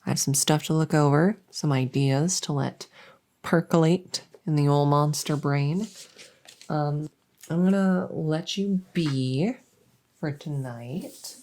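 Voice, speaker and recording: A woman playing an anxious, overworked but friendly monster under the bed in a comedic ASMR roleplay, rambling and stammering softly, often slipping into a whisper. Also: low-pitched, slow pace. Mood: friendly, winding down. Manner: rambling, nervous, stammering and repeating herself.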